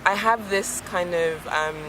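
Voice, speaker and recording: A woman's voice: on the low side, slow. A young woman from Ghana, a drama student, chatting casually in a street interview, laughing often and trailing off. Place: outdoors on a busy city street. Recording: street traffic noise behind.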